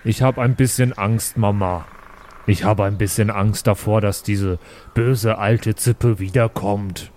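A man's voice: lowish voice, fairly even intonation, a little slow, darkish tone, a little hiss or hum. A man chatting casually and playfully as a player in an improvised tabletop role-playing game.

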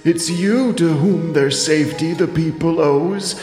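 A man's voice: fairly high pitch, darkish tone, natural voice. A man playing a solemn divine dream messenger, chanting epic verse in an incantatory way, drenched in reverb. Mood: solemn, urging. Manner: slow, incantatory, rhyming delivery. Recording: long echoing reverb, music underneath.

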